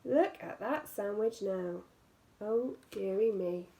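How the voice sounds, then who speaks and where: A woman's voice: mid-range voice, expressive, slow pace, mellow. A woman reading a picture book aloud to young children as a teacher, expressively stretching key words and adding little asides to the listeners.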